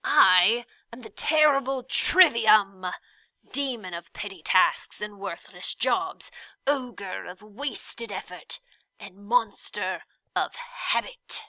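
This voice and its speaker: Woman voicing a slow, sly, villainous male demon. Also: mid-range voice, no echo, rough recording. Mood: sour, indignant. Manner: drawn-out, snarling, slipping into soft deceitful whispering. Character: villainous faceless male demon of petty tasks, sly and menacing.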